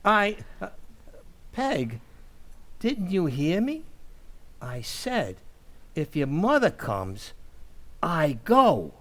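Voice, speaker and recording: Man acting a bumbling husband with swooping pitch. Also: wildly swooping pitch, extremely slow, long pauses, a bit bright, heavily acted. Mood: faltering. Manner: hesitant, flustered, sheepish bluster. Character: bumbling henpecked family man, blustering but soft-hearted. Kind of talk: old-time radio comedy acting.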